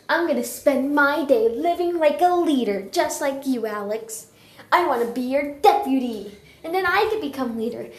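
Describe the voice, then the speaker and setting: A woman voicing an earnest, eager young apprentice cat in a childlike, pleading way, as for animated cartoon lines. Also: high-pitched, roomy sound. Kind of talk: character voice lines for a fan animation.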